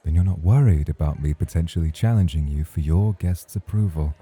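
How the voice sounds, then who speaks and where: An extremely low, very dark and mellow male voice with a husky edge , acted by a man. He is a self-assured star nightclub host in a romantic ASMR roleplay, courtly and playfully provocative with the listener. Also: a little fast. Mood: teasing, probing.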